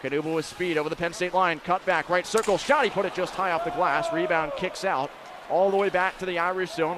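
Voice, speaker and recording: A man doing live radio play-by-play commentary of a hockey game, describing the action in crisp detail. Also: sits high. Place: indoor ice hockey arena. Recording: noisy live arena broadcast. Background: loud arena noise.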